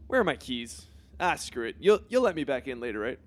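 A man's voice: mid-range voice, very animated, fairly quick, lightly acted. A man playing a vain, self-pitying teenage boy superhero in a comedy sketch, talking in a pompous, oblivious way. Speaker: Bruce Wayne Jr.

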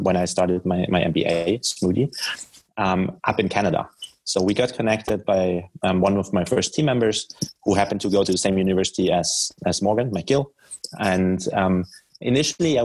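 Low, husky man with German accent. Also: a little mellow. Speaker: podcast guest, startup founder. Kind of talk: conversational interview answers.

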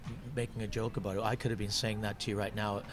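A man's voice: lowish voice, flat delivery, fast. A man who is a stand-up comedian, chatting on a podcast, thinking aloud with many restarts. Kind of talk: casual podcast chat. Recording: noisy background.

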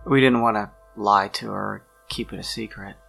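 A man playing an awkward, guilt-ridden young man in a tabletop game, talking haltingly and trailing off. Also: fairly low pitch, fairly even intonation, vocal fry, a bit bright, slightly rough, faint music behind, full character voice. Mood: guilty, regretful.

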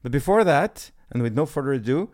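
A male podcast host with a mid-range voice opens the show and introduces his guests. His delivery is measured and formal, like a read script. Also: medium pitch, animated, darkish tone.

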